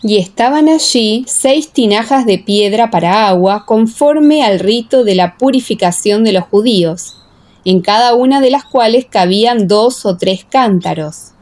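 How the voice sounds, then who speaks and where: Higher-pitched woman narrating scripture. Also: lively intonation, unhurried, darkish tone. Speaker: audiobook narrator. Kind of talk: scripted dramatized Bible narration.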